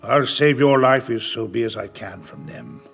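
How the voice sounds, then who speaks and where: An actor playing a wily, domineering middle-aged pirate captain with a gravelly voice, theatrical and full of rustic sailor talk, in an audio drama. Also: male voice, medium pitch, sing-song, normal speed, a bit bright, faint music behind. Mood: low, scheming.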